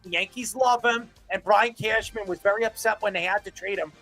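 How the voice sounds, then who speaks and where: A man's voice: sits high, fairly quick, bright, forceful tone, soft background music. A man on a sports talk panel, arguing casually in an insistent, emphatic way and repeating his points.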